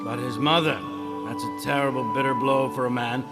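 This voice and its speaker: An actor playing a senior heavenly superior, calm and measured, mildly reproving, in a radio play. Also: male voice, mid-range voice, over loud music, natural voice. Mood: grave. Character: senior heavenly male superior, patient, stern.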